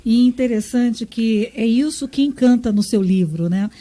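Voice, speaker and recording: A Brazilian woman with a Brazilian accent hosting a radio interview, speaking with enthusiasm and warm praise. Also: on the high side, normal speed. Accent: Brazilian Portuguese. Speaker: radio host.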